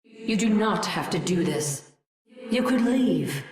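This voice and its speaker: An actress playing a desperate alien colonist who speaks formally, pleading and defiant. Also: female voice, mid-range voice, lively intonation, slow, distant mic. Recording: echoey, loud music behind.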